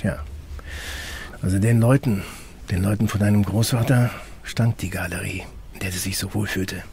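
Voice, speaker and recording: A middle-aged investigator in an audio drama, speaking low with plenty of fry. He is guarded and matter-of-fact, recorded over light ambient noise. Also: darkish tone. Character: guarded middle-aged undercover investigator explaining a past operation. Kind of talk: German audio drama dialogue.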